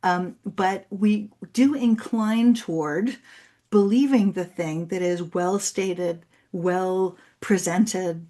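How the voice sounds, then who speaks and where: A woman's voice: fairly low pitch, darkish tone. A woman who is a native Spanish speaker, presenting and answering questions as an articulate, explanatory university speaker. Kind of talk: conference presentation and Q&A.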